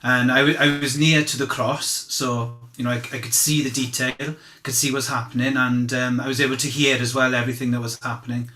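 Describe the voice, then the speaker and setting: A man playing a candid, humble fisherman giving halting, conversational eyewitness testimony in a courtroom drama. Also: mid-range voice, level tone, sharp tone, lightly acted. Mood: plain, hesitant. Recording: roomy, echoing sound.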